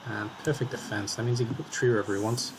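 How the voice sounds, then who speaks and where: Man calmly commentating his own game. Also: fairly low pitch, racing, background noise. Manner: calm, analytical, talks through his moves. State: slightly hoarse.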